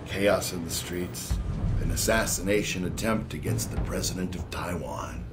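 Cold, low-voiced spymaster villain. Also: male voice, animated, music in the background. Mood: cold, disdainful. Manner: measured, condescending, formal. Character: cold, calculating middle-aged male spymaster villain. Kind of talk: video game cutscene dialogue.

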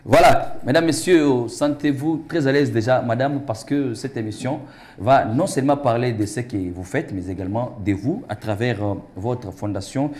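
A man's voice: medium pitch, normal speed, darkish tone. A man in his thirties hosting a TV studio interview, formal and ceremonious, with frequent hesitations. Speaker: TV talk show host. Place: TV studio set.

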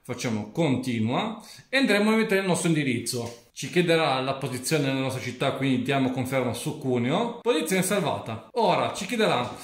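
A man's voice: medium pitch, animated, fairly quick. A young man presents an informal, step-by-step product tutorial indoors. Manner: informal, instructional. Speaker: tech reviewer and tutorial presenter. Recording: roomy indoor echo.

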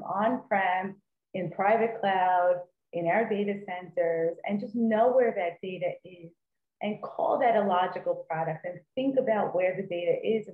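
A woman in her forties explaining a work approach on a video call, earnest and given to repeating points for emphasis. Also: mid-range voice. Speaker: data leader panelist. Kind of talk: conference panel talk on video call. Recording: tinny, echoey video call audio.

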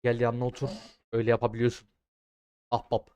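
A young man doing casual, slangy game commentary, swearing freely and repeating words in excited bursts. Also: medium pitch, quick pace, slight background noise. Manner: foul-mouthed, slangy. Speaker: gaming streamer.

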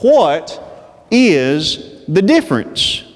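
A man in his thirties preaches from a pulpit in a fairly high, carefully paced voice. He draws out his phrases with slow deliberation. The lapel mic catches the long reverb of the church sanctuary around him. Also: very animated. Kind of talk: sermon.

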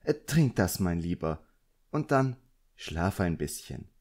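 A man's voice: deep voice, sing-song, slow, a little mellow, heavily acted. A man voicing a fussy, caring middle-aged magician's wife, motherly and chatty, in an audiobook.

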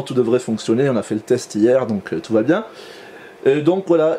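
Mellow man explaining, somewhat haltingly. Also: medium pitch, slight background noise. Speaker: amateur radio operator and demonstrator. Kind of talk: casual explanatory demonstration.

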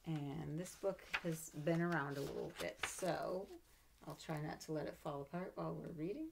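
Expressive woman reading a picture book. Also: low-pitched, normal speed, gravelly, noisy background. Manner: expressive, animated read-aloud with playful asides. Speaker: storybook reader. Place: home room with bookshelves. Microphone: webcam at desk.

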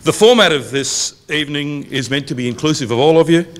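A middle-aged man opening a university public event from a lectern in a function room, speaking in a measured, formal way and reading out long lists evenly. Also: fairly high pitch. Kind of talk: formal welcome speech at a lectern. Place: university function room, indoors, medium size. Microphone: lectern microphone on a boom stand.

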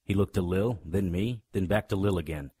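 A hard-boiled private eye in a noir radio play. His voice is very low and gravelly, telling the story in the first person with dry wisecracks and tough-guy grit. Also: normal speed, dark tone, no room sound. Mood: wry narration. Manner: wry, tough-guy delivery.

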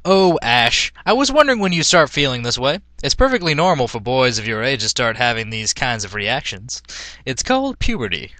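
Man putting on a smug, forceful older teenage boy. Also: mid-range voice, very animated, normal speed, no echo. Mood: knowing. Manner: knowing, emphatic, exasperated.

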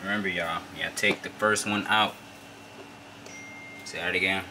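A man's voice: deep voice, steady tone, measured pace, bright, forceful tone. A man hosting a casual cooking vlog in a home kitchen, joking around with slang and lots of swearing. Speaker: vlog host. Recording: from the camera, noisy background.

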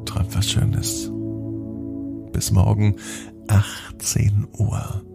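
A man telling a children's bedtime story in a slow, soothing, softly spoken way. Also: fairly low pitch, completely flat delivery, gravelly, over loud music. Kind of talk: gentle bedtime story narration.

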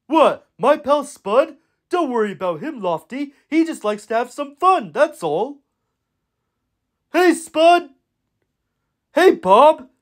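A man's voice: extremely high pitch, heavily acted. A man voicing a chummy, breezy tractor from a children's cartoon.

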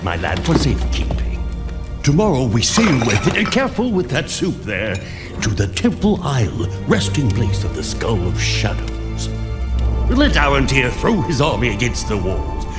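Gruff old wizard uncle, hugely animated delivery, commanding, fantasy game, music behind. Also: male voice, medium pitch. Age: older. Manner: theatrical, avuncular, brusque. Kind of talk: video game voice acting.

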